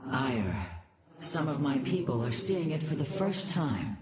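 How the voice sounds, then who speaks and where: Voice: medium pitch, sing-song, unhurried, roomy sound, noisy background. An actor playing a grave, loyal alien warrior commander in a video game, formal and composed. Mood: grave, reflective. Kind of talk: dramatic video game voice acting.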